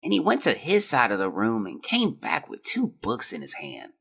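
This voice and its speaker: An actor playing a gravely ill, weary young boy who haltingly reads out his diary in an audio drama. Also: mid-range voice, huge pitch swings, a bit bright, exaggerated voice. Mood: sick, drifting. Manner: weak, halting, drifting. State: feverish and exhausted.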